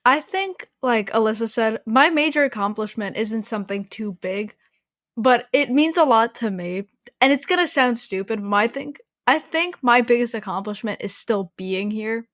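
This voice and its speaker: A woman's voice: on the high side, lively intonation, normal speed, a bit bright, no echo, studio quality. A teenage woman co-hosting a podcast, talking earnestly and reflectively in a casual chat, with many fillers. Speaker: podcast co-host. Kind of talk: casual chat among friends.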